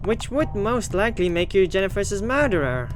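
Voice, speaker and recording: Guy playing a young game character calling out a killer, indignant, really animated, music behind. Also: high-pitched, moderate pace, voice pushed up. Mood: confident reasoning. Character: determined young accuser confronting a killer, indignant and dramatic. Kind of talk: dramatic visual novel character reading.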